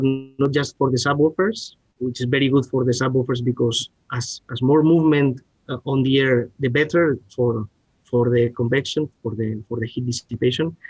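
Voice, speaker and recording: A man with a Spanish accent giving a halting technical explanation as a guest expert, often restarting his words. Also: medium pitch, husky, dry recording, poor audio. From Spain. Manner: halting, searching for words with repeated starts. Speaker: R&D director, podcast guest. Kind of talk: technical presentation and discussion.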